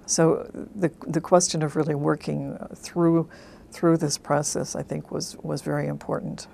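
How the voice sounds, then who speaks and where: A woman who teaches French, a guest author speaking in a measured, hesitant way in an interview. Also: low-pitched, flat delivery, creaky, mellow, slight background noise.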